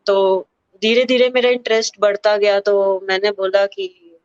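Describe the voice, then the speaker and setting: A young woman from Uttar Pradesh, a para-athlete sprinter, telling her story in an interview, thoughtful, with many pauses and fillers and English words mixed into her talk. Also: medium pitch, fairly even intonation, clean tone, dry recording, muffled recording. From Uttar Pradesh, India. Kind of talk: candid personal interview.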